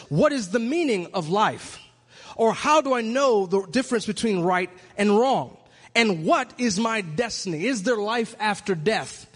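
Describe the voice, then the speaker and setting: A man from Michigan preaching in an earnest, reflective way that builds to emphatic points. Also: high voice. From Michigan, USA. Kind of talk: sermon-style monologue. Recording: long hall reverb.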